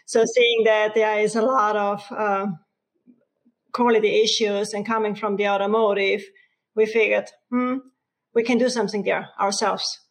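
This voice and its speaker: A woman with an Austrian accent, a company co-founder telling her story in a relaxed interview. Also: medium pitch, normal speed, low-quality recording. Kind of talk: casual interview talk.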